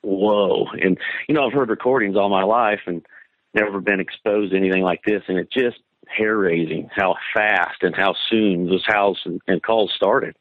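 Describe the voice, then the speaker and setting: A man's voice: fairly low pitch, steady tone, normal speed, dry recording. A man from Kansas, a guest on a show, talking in an eager, casual way and saying "man" a lot over a phone line. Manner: enthusiastic, says man a lot. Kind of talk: phone-in interview.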